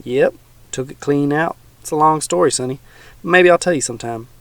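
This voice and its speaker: A man voicing a gruff, menacing old male swamp hermit with a folksy backwoods drawl. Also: on the high side, brisk, fairly bright tone, no echo, voice pushed up.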